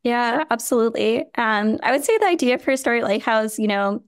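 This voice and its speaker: A woman from Chicago, raised speaking Hindi and Gujarati, talks as a nonprofit founder in an interview. Her voice is smooth and clear, and the sound is close and dry with no room around it. She speaks earnestly and steadily, leaning on "you know" as she goes. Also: a little high, moderate pace, no room sound. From Chicago, USA. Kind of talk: podcast interview answer.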